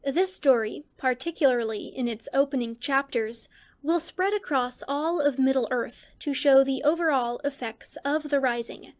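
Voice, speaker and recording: A woman reading fan fiction aloud as a podfic narrator, measured and deliberate with dramatic pauses. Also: high-pitched.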